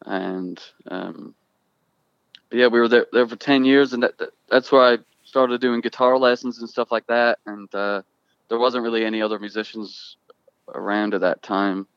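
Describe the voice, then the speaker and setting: A young man from Ireland with an accent that drifts between Irish, Scottish and American, talking casually and haltingly with many restarts. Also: medium pitch, fairly even intonation, fairly bright tone, smooth, no room sound, muffled recording. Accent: mixed Irish and Scottish with American. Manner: halting, restarts often, thoughtful. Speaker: guest musician. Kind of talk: casual conversation.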